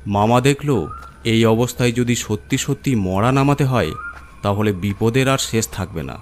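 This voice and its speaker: A man's voice: mid-range voice, normal speed, slight background noise. A man narrating a scripted horror story as its storyteller.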